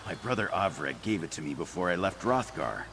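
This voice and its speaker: A man voicing an earnest adventurer devoted to his cat in a video game, with a deep, gravelly voice. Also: very animated, brisk, noisy background.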